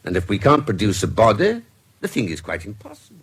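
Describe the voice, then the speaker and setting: An actor playing a learned, wry doctor-detective in a radio drama, genially pedantic and donnish, with a British accent. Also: male voice, on the low side, wildly swooping pitch, fast talker, slight background noise. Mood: amused, pedantic. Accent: British English. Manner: donnish, precise, dryly humorous. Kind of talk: BBC radio drama acting.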